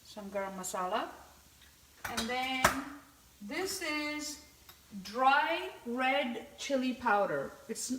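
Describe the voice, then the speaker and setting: High-voiced woman cooking in an echoey kitchen. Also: a bit bright, distant mic, a little hiss or hum. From India. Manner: chatty, explaining each step while stirring. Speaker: cooking show host. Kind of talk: live-streamed cooking demonstration. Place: home kitchen.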